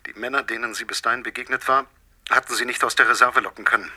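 An actor in a radio drama playing a vengeful husband, a coldly controlled and menacing caller who speaks with deliberate mockery. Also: male voice, mid-range voice, steady tone, bright, forceful tone, breathy edge. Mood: bitter, measured.

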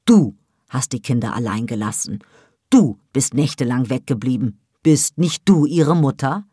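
A woman's voice: extremely low pitch, animated, unhurried, a little mellow, husky, no room sound, studio quality, heavily acted. Woman voicing a stern, insistent older mother. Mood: reproachful. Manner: scolding, pressing with rhetorical questions. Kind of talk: audiobook dialogue.

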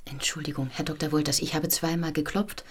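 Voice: mid-range voice, flat delivery, brisk, a bit bright, slightly rough. An actor playing a timid, deferential servant in a German radio play, speaking in a meek, subdued, polite way. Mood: meek, apologetic.

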